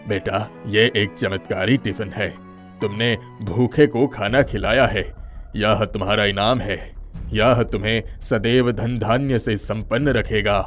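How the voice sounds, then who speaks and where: Guy doing old beggar holy man for a kids cartoon, kindly n solemn, low voice, soft music behind. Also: fairly even intonation, unhurried, natural voice. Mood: benevolent, solemn. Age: older. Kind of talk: Hindi moral story cartoon acting.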